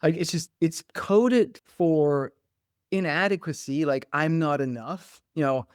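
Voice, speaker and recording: A man's voice: on the high side, measured pace, clear, resonant tone, no echo, studio quality. A middle-aged man from Denmark, a podcast guest and coach speaking reflectively and animatedly, with lots of "like" fillers. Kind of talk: conversational interview.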